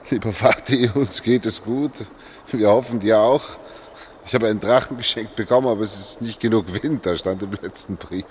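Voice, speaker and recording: A man's voice: fairly low pitch, normal speed, a little mellow, slight background noise, natural voice. An actor playing a lonely, wistful father in a German radio play monologue. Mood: reciting a letter. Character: lonely, wistful father clinging to his son's letters.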